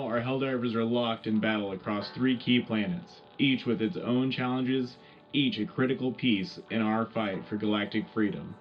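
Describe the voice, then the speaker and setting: Wartime radio newsman, played by a male actor: folksy patriot, rallying, mid-pitched, lo-fi sound over music. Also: close to an everyday voice. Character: upbeat propagandist male wartime radio news host. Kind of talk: scripted radio war bulletin.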